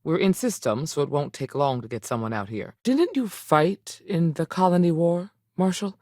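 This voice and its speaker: A stern, dutiful woman ranger from a space video game. Her pitch moves around freely as she gives firm, plain-spoken lines with frontier folksiness. The recording is close and dry. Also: animated, moderate pace, darkish tone, dry recording. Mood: firm, businesslike. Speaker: video game character voice actor. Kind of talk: game dialogue acting.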